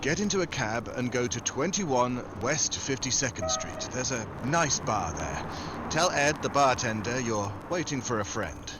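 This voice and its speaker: Radio hero, played by a man: cool American secret agent, mid-pitched, dry wisecracks, noticeable background noise. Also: very animated, a little slow. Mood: calm, persuasive. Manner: wry, nonchalant. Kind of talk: 1940s radio drama acting.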